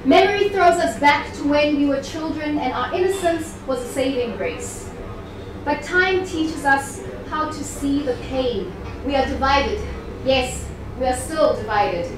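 A woman with a South African accent delivers spoken-word poetry in a high voice, deliberate and dramatic. She leans on key words and leaves pauses between phrases. The recording is very echoey, with clear noise around her. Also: expressive. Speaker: poet performer. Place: live event in an echoey indoor venue. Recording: echoey room, distant mic, clear background noise.

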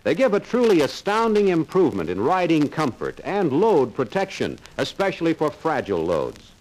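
A man's voice: on the high side, wildly swooping pitch, normal speed, a little mellow, poor audio. A man narrating a 1950s sales training film in a confident, persuasive salesman's manner.

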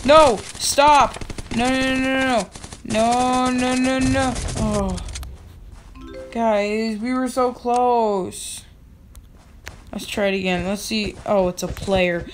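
A young woman with a slightly raspy voice, giving casual commentary over her own gameplay and yelling at other players. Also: a little high, a little hiss or hum. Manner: excitable, yells at other players, quick laughs. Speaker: gaming channel host.

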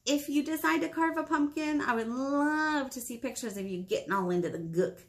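A woman in her thirties hosting a children's storytime, speaking in an animated, encouraging way and asking young viewers questions. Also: high-pitched. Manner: animated, encouraging, asks questions and pauses for answers. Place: small indoor meeting room.

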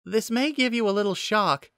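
A male narrator puts on a female android assistant with a high, bright voice. She is courteous and soft-spoken, a gentle machine helper from an audio story, recorded close and dry. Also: normal speed, no echo. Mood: gentle, apologetic.

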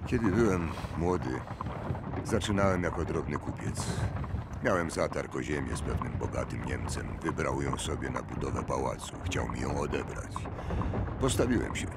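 A man's voice: a bass voice, lively intonation, moderate pace. An actor in an audio drama playing an old, wealthy businessman, genial on the surface but burdened by loss, speaking hesitantly and ponderously. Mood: grave, wistful. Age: older. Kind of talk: radio drama acting. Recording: loud vehicle noise and ambience behind.

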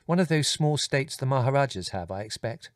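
A man's voice: medium pitch, expressive, brisk, no echo, clean studio sound, pitched above the performer's own voice, lightly acted. A man voicing a fluttery, vague mother. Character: flustered, vague older mother.

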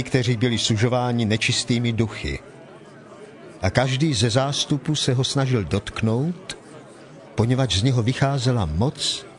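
A man giving scripted narration for a dramatized audio Bible, in a measured, deliberate storytelling manner. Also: medium pitch.

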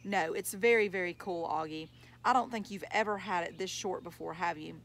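A woman's voice: on the low side, moderate pace, fairly bright tone, a little hiss or hum. A woman voicing a boy's doting, easygoing father in a storybook, affectionate and coaxing. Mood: proud.

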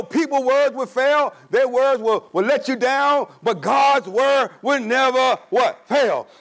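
A man in his sixties preaching a sermon in a church, emphatic, with call-and-response questions. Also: very high voice. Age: 60s. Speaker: pastor. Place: church sanctuary with stone wall.

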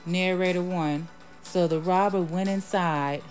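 A woman reading a children's storybook aloud in a measured way, announcing each part before she reads it. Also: fairly low pitch, fairly even intonation, darkish tone, faint music behind. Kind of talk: storybook read-aloud, scripted narration.

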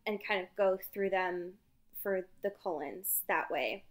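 A woman co-hosting a podcast in casual chat, giggling often and trailing off softly at the ends of sentences. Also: on the low side, unhurried. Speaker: podcast co-host.

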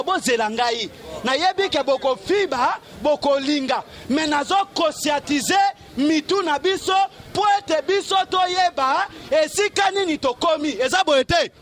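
A middle-aged Congolese man with a very high, strained-up pitch delivers a street political speech. He is declamatory and emphatic, often raising his voice to a shout. He speaks outdoors into a handheld microphone. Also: measured pace. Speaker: political activist, street orator. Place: outdoors on a street among onlookers.

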